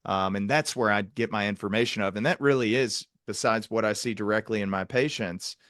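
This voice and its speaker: A man's voice: lowish voice, fairly quick, a bit bright, dry recording, clean studio sound. An American man hosting a podcast in a casual, conversational way. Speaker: podcast host, psychiatric practitioner.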